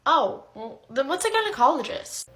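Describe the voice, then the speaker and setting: A woman puts on an earnest, confused schoolboy for a comedy skit. His voice is high and bright, swooping about as he talks in a plaintive, flustered way. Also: sing-song, normal speed. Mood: puzzled.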